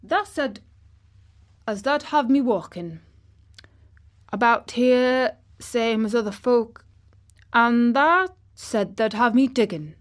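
Woman as bossy little rajah of a boy, emphatic, eager, higher-pitched, storybook voice. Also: big pitch swings, unhurried. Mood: exultant. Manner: lordly, emphatic, giving orders. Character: imperious young rajah-like boy, eager and commanding.

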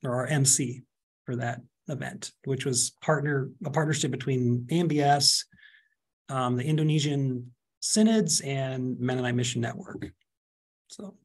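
A man in his forties, a seminary project specialist, speaking in a relaxed, appreciative way during a video-call interview. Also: mid-range voice, measured pace.

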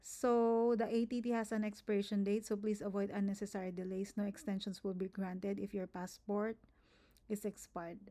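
A woman's voice: mid-range voice, flat delivery, moderate pace, warm tone. A young woman with a Filipino accent, whose first language is Tagalog, explaining an application step by step in a screen-recorded tutorial, often restarting words and checking in with "okay?". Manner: repeats herself, frequent restarts and "okay?" checks. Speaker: nurse giving an application walkthrough.

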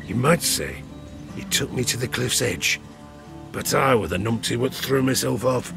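An actor playing a rueful, ruined old harpooner and gambler with a British accent, spinning a folksy, gravelly-voiced yarn in an audio drama. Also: male voice, mid-range voice, normal speed, lightly acted. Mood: bitter regret. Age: older. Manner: folksy, rustic, rambling tavern yarn-spinning. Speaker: storyteller. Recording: studio drama with music behind. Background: music.

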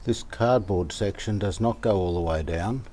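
An older man calmly talking through a restoration job outdoors, thinking aloud as he works. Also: low-pitched, slight background noise. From Australia. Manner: thinking aloud, calm and unhurried, plain-spoken with fillers. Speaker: radio restorer, channel host. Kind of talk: casual hands-on workshop narration. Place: outdoor verandah in the garden.

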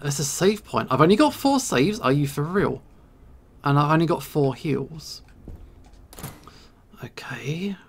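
Male let's player, slightly high pitch with strong creak, casual halting think-aloud commentary. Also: a bit bright. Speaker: video game player and host.

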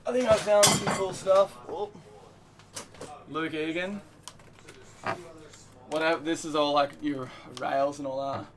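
A man's voice: a little high, fairly even intonation, measured pace, long pauses, background noise. A young man chatting casually and enthusiastically in slang as he guides a tour. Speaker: surfboard factory tour guide. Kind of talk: casual chat. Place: indoor surfboard factory.

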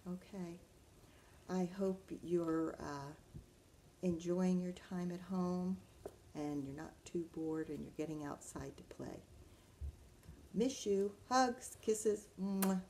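A woman's voice: lowish voice, vocal fry, warm tone, a little hiss or hum. A woman in her fifties, a librarian reading a picture book aloud and talking simply and directly to young children. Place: living room at home, indoors.

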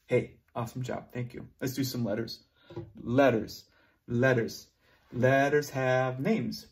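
A young man teaching preschoolers online at a whiteboard, talking slowly and encouragingly in a sing-song way, moving toward and away from the camera. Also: medium pitch, steady tone, slight background noise. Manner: slow, sing-song, encouraging teacher talk to small children. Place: classroom at a whiteboard. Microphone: camera close up, moving away to write.

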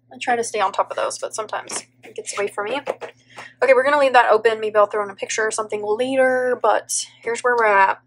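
A woman's voice: fairly high pitch, slight background noise, poor audio. A woman in her thirties, stuffy from allergies, chatting casually as she thinks aloud, peppered with "like" and little laughs. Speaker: planner vlogger and teacher. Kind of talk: casual plan-with-me voiceover. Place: indoor home table, overhead camera on planner.

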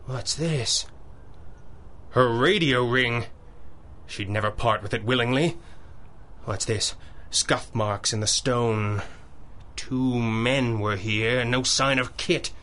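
Forceful, urgent masked hero. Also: male voice, mid-range voice, slow pace. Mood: wary. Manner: urgent, self-narrating, melodramatic. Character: masked male vigilante hero, determined, resourceful. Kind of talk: 1930s-style radio drama acting.

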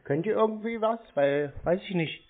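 A man's voice: high-pitched, lively intonation, normal speed. A man playing dumb on a phone call with scammers, turning mocking and irritated. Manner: mock-naive, then mocking and irritated. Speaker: scam-baiting call recipient. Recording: tinny phone line.

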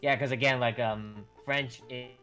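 Jokey man chatting over loud game music. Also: medium pitch, steady tone, a little slow. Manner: jokey, hesitant with fillers. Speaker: co-streamer. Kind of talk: casual chat over a game stream.

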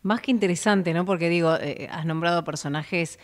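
A woman's voice: fairly low pitch, moderate pace. A woman in her thirties from Argentina with a Rioplatense accent, hosting a live radio interview in a small studio, friendly, with many short affirmations. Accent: Rioplatense Spanish. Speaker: radio host. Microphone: studio mic on a boom arm.

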